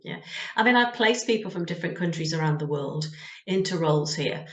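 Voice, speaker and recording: A woman's voice: deep voice, animated, fairly quick, echoey room. A middle-aged woman from the UK with a British accent, telling her story in a frank, conversational way as an interview guest, with frequent ums. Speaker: guest, job search coach.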